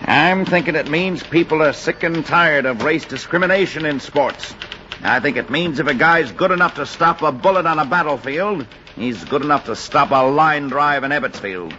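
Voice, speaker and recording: An actor playing a decisive baseball club chief in a 1940s radio drama, emphatic, clipped and commanding. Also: male voice, on the high side, normal speed, bright, forceful tone. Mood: determined. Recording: muffled 1940s radio recording, faint hiss.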